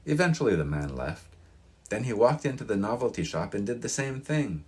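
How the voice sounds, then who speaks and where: A man's voice: on the low side, very animated, normal speed, a little mellow, slightly rough. A man reading a novel aloud in the style of audiobook narration.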